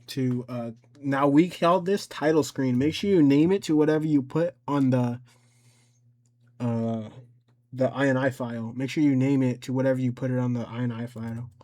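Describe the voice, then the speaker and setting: A man giving an informal step-by-step software tutorial, thinking aloud and somewhat hesitant as he goes. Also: medium pitch, steady tone, normal speed. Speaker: tutorial host.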